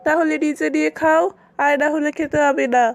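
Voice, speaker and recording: A woman's voice: sits high, level tone, fairly quick, creaky, soft background music. A woman voicing a stubborn, sulking wife and mother in a cartoon family comedy, scolding in an aggrieved, dramatic way. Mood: irritated, defiant.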